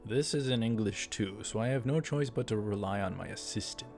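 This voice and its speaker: A man's voice: lowish voice, fairly quick, gravelly, darkish tone, soft background music. A man narrating a visual novel as a let's player, reading text aloud with casual asides.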